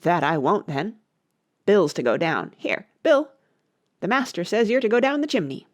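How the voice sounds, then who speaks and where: A woman's voice: medium pitch, big pitch swings, normal speed, natural voice. A woman voicing rustic male animal workers in a storybook, calling out and bickering. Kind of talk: storybook character voices.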